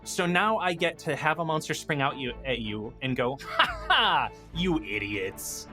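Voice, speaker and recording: A malicious game master gloats at the table, as a man acts him out. The delivery is trollish and taunting, with pitch that swings widely over a bed of music. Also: sing-song, unhurried. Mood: gloating mockery. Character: gloating, malicious dungeon master mocking his players. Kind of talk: acted mockery within casual chat.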